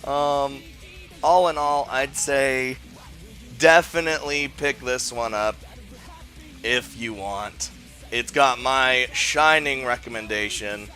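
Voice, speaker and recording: A man with a bright, forward voice and a middling pitch hosts a casual list-style game review. He talks informally and enthusiastically, wandering through his thoughts. Faint music plays underneath. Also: slow pace. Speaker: video game reviewer and host. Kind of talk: casual top-five list commentary.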